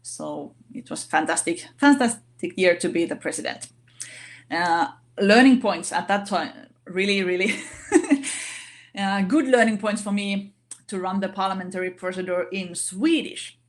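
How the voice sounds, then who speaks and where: A woman speaking English as a non-native language, giving an online session talk in a halting way with many fillers and restarts. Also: medium pitch. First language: not English. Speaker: organization vice president giving a talk.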